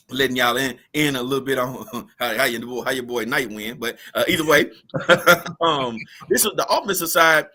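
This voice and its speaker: A slightly hoarse man co-hosting a casual sports podcast, loose and repetitive, full of "you know what I mean.". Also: medium pitch, moderate pace, pushed and bright.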